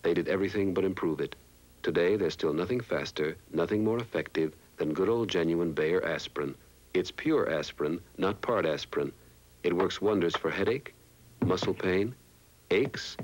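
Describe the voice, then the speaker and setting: A man's voice: deep voice, normal speed, low-quality recording. A man reading scripted narration for a 1960s television commercial as its announcer.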